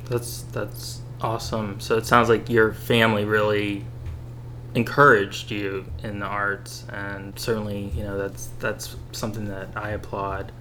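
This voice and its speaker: A man interviewing a guest, asking steady, affirming questions. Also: on the low side, unhurried, slight background noise.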